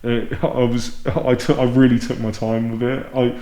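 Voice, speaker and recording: A man's voice: medium pitch, flat delivery, moderate pace, warm tone. A man with a British accent hosting a casual podcast chat, speaking earnestly and often repeating words for emphasis. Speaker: podcast host. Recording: roomy echo on the voice.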